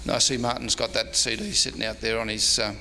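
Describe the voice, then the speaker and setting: A man's voice: lowish voice, flat delivery, fast, sharp tone. A man giving an informal slide-show talk as a presenter at a convention. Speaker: presenter, old-time radio historian. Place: indoor convention presentation room. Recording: reverberant hall, faint noise.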